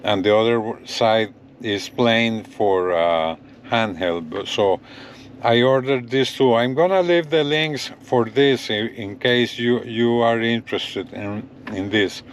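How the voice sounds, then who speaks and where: A man presents gear in a casual vlog style at a slow, unhurried pace. His voice sits at a middling pitch, and he often repeats himself as he points things out. There is faint vehicle noise around him. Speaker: gadget review vlogger. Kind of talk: casual hands-on product demo, addressing viewers. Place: in and around a parked pickup truck.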